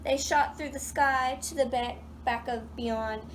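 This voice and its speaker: A woman reading a children's picture book aloud in an animated way, pausing to put questions to young listeners. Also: high-pitched, a little hiss or hum. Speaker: read-aloud storyteller.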